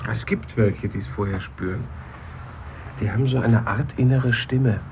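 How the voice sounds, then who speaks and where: An actor playing a chatty funeral guest trading platitudes in a naturalistic, musing radio-play conversation. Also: male voice, on the low side, a little slow, vocal fry. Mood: commiserating. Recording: muffled, very noisy 1970s radio recording.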